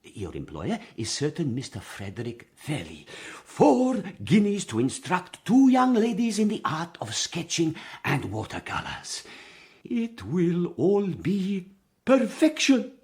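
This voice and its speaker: Effusive Italian professor, high voice swinging wildly in pitch, Italian accent, flowery and theatrical, Victorian audio drama. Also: male voice, wildly swooping pitch, takes it slowly, a little mellow, full character voice. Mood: effusive, grateful. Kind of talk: period audio drama acting.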